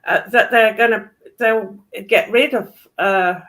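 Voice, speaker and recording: A woman's voice: fairly high pitch, fairly even intonation, slow pace, a bit bright. A British woman in casual political chat, speaking in a blunt, opinionated way with some swearing. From United Kingdom.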